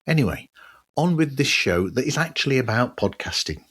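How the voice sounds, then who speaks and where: A man with a husky voice hosting a podcast, reading a scripted news roundup with wry, musing asides. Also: mid-range voice, big pitch swings, normal speed, a bit bright. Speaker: podcast host.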